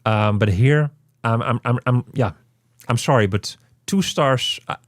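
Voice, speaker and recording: A man hosting a casual game review, speaking haltingly and often repeating his words. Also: mid-range voice, dry recording, clean studio sound. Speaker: podcast host reviewing a video game.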